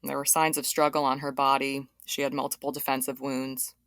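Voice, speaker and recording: A female homicide detective on the witness stand in an audio drama. Her voice is low and recorded dry. She gives formal, factual testimony without fuss. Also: level tone, brisk, vocal fry, fairly bright tone, no echo, full character voice. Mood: matter-of-fact. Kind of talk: courtroom audio drama acting.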